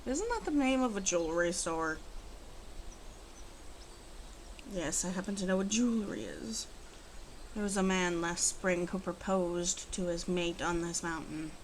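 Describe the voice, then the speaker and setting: A woman playing a proud, wry female werewolf of the forest in an ASMR roleplay, teasing and faintly disdainful, speaking deliberately to the listener. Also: on the low side, expressive, a little hiss or hum, lightly acted, low-quality recording. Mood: puzzled, disdainful.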